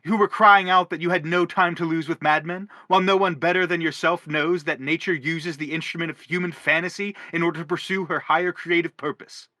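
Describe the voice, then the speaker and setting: A man's voice: fairly high pitch, steady tone, brisk, hardly any pauses, pushed and bright, dry recording. An actor playing an earnest, courteous father character in a radio stage play, speaking formally and with pleading eloquence. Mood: earnest, philosophical.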